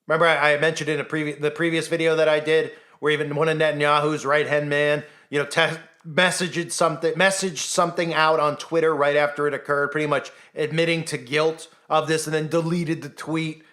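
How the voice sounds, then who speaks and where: A man's voice: a little high, level tone, brisk, hardly any pauses, fairly bright tone. A man talking through a conspiracy commentary monologue, insistent and rhetorical, now and then acting out imagined voices. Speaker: commentary channel host.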